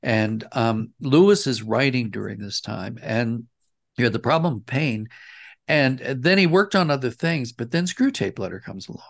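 A man speaking as an interview guest, explaining things in a measured, articulate way. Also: medium pitch, animated, vocal fry. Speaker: interview guest, audio dramatist.

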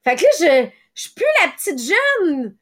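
High-voiced Québécoise woman, late thirties. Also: sing-song, a bit bright. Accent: Quebec French. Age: late 30s. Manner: quick, conversational, acts out little quoted thoughts. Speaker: podcast host. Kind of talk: motivational morning podcast talk.

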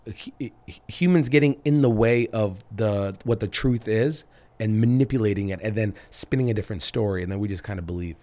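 Male podcast host, 30s, slightly low and raspy from illness, loose rambling delivery. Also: a little fast, a little mellow. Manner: rambling, frequent restarts and fillers. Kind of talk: casual chat.

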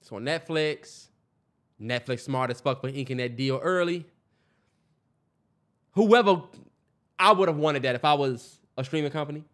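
American guy on a podcast as cohost, voice a bit on the higher side, loose slangy chatting. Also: moderate pace, long pauses, fairly bright tone. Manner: slangy, informal. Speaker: podcast co-host. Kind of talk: casual chat.

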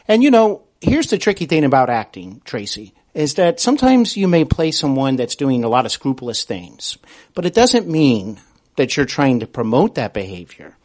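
A man's voice: medium pitch, very animated, normal speed, a little mellow. A man hosting a conversational interview, speaking in an affirming, wordy way with hesitant phrasing. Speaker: podcast host and interviewer.

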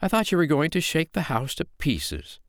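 A man voicing a calm, sly foster mother in a storybook, with a lilting, mock-innocent, needling way of talking. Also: fairly high pitch, normal speed, creaky, no echo, clean studio sound, voice pushed up, heavily acted. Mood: sighing, needling.

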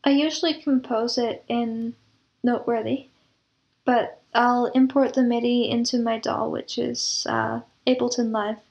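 A woman's voice: fairly high pitch, level tone, a little slow, vocal fry, darkish tone, lo-fi sound. A woman speaking as an interview guest, explaining her creative work in a thoughtful, descriptive way. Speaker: guest composer and audio drama producer. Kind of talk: reflective interview talk.

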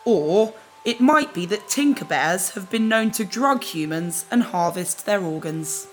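A woman voicing a shady, matter-of-fact alien bear-like repair trader in a sci-fi audio drama, deadpan and clipped. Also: medium pitch, unhurried, a little hiss or hum, close to an everyday voice. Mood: dry, ominous.

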